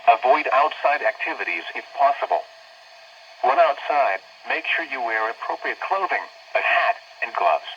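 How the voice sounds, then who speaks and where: A synthetic male voice reads a scripted weather bulletin in a stiff, measured way, heard as a radio broadcast. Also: medium pitch, expressive, dull audio. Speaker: automated weather radio announcer. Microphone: radio receiver speaker picked up by recorder. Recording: band-limited, noisy weather radio speaker.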